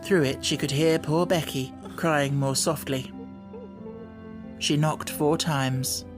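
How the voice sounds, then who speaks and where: A woman's voice: low-pitched, steady tone, takes it slowly, over music. A woman reading a children's novel as scripted audiobook narration.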